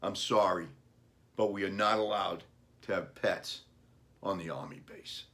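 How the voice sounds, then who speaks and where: A man reading a children's storybook, playing an earnest, gentle father talking about his young son's wishes. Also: low-pitched, measured pace. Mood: regretful.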